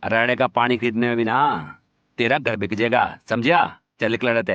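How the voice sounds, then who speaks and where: A man's voice: mid-range voice, flat delivery, moderate pace, fairly bright tone, clear, resonant tone, no room sound, muffled recording, close to an everyday voice. A man with a Haryanvi accent playing a rude, sneering hotel security guard in an audio drama. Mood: scornful.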